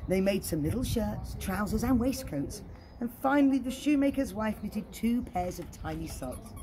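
A woman, around her forties, reading a children's story in a playful, expressive way, with chatty asides like a children's entertainer. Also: medium pitch, normal speed, noisy background. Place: outdoor garden.

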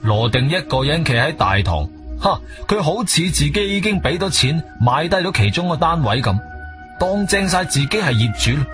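Guy narrating radio drama, guangzhou cantonese, chatty storyteller, highish voice, music behind. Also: sing-song, moderate pace. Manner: conversational storyteller with interjections. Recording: music bed behind studio voice.

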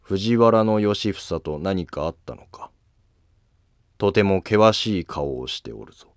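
Man acting imperial prince, calm, formal, low pitch, dry sound, historical cartoon. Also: a little slow, no room sound. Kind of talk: Japanese historical animated drama acting.

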